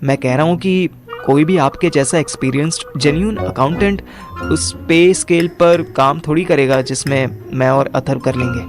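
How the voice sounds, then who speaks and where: A man playing a wry, quick-witted young man with an Indian accent, narrating and acting in a first-person audio drama. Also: on the high side, over music, close to an everyday voice. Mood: earnest pleading. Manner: animated, colloquial, mixes in English.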